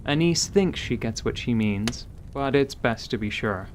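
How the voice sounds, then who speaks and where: A man's voice: mid-range voice, expressive, measured pace, slight background noise. A man narrating a scripted fantasy audio drama as its storyteller.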